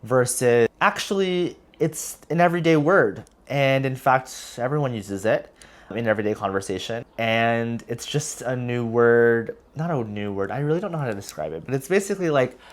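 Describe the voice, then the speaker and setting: American man talking casually, explaining things. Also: mid-range voice. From United States. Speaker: vlogger, heritage language learner. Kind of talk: casual reflective talk to viewers.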